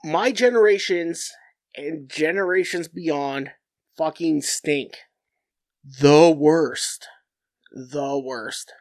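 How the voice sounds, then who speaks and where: A man's voice: on the high side, drawn-out words, no echo. Nasal, profane man ranting. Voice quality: nasal, stuffed-up. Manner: blunt, profane, rambling with restarts. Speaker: podcast host. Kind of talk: profane solo rant.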